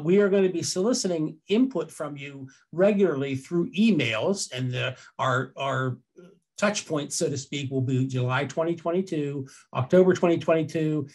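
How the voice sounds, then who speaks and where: An older man with an American accent presents an explanatory webinar into a headset, conversational and often rephrasing himself as he goes. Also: fairly high pitch.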